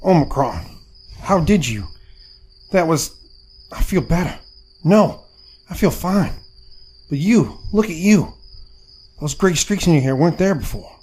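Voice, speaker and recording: An actor playing an earnest, courteous young gentleman recruit of the 1870s in an audio drama. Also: fairly high pitch, very animated, a little slow, pauses often, slightly rough, full character voice. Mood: amazed relief. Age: 20s.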